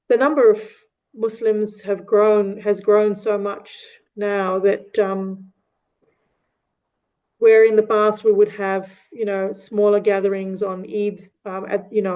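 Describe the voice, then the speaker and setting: Woman, unhurried community speaker, mellow flat delivery, frequent restarts. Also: mid-range voice. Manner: hesitant, many fillers and restarts. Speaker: mosque community member. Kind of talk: casual discussion. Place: online meeting.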